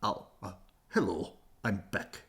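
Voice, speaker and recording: An eager but anxious bear from a picture book, acted out by a male reader. He speaks in halting, pleading phrases. His pitch swings widely and he takes his time. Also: animated, vocal fry, heavily acted. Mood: nervous, affectionate. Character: eager, anxious bear calling out to old friend.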